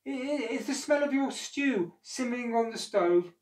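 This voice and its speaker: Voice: animated, moderate pace, a little mellow, echoey room, distant mic, lightly acted. A reader voicing an enormous, anxious woman who stammers when flustered. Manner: high, shrill, flustered stammer.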